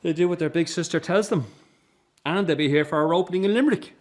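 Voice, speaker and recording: An actor playing a middle-aged circus boss in a radio drama, defensive, bitter and short-tempered. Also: male voice, fairly high pitch, fast, close to an everyday voice. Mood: matter-of-fact, guarded. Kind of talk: naturalistic radio drama acting.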